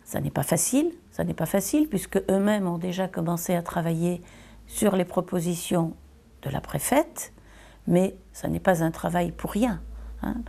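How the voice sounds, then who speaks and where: A woman giving a semi-improvised political statement as a local elected official, deliberate and hesitant, repeating phrases for emphasis. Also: lowish voice, gravelly, dark tone.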